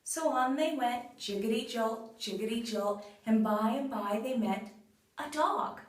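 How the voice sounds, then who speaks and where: A woman narrating a children's folktale in a measured way, with sing-song refrains. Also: on the high side. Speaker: storyteller. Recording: very roomy echo, far from mic.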